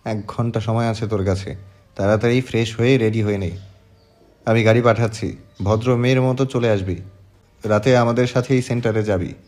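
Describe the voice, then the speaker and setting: A man's voice: on the low side, steady tone, moderate pace, darkish tone, faint music behind, close to an everyday voice. A man playing a stern, teasing young romantic hero in an audio drama, with a commanding manner. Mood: bossy, stern.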